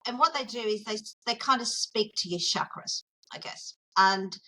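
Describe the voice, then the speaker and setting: Australian lady on a video call, bright clear voice, earnest and kinda searching for words. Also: medium pitch, low-quality recording. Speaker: guest, mindful arts therapist. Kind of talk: casual interview chat.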